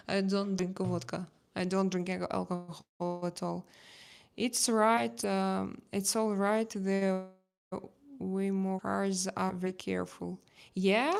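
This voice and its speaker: A woman from Russia with a Russian accent, a native Russian speaker, chatting casually as a live stream host in halting English and often searching for words. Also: mid-range voice, gravelly.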